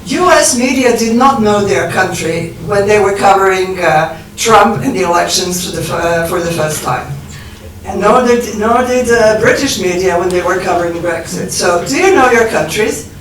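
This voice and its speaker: A woman's voice: mid-range voice, moderate pace, slight background noise. A woman from Serbia asking a panel provocative questions at a conference, hesitating with fillers. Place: conference hall. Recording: roomy hall, far from the mic.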